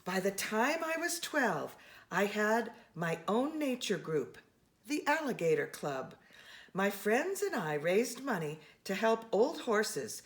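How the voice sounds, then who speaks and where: A woman reading a children's storybook aloud in an expressive, animated way, speaking to young listeners. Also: medium pitch. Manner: expressive, with gasps and brief asides to listeners.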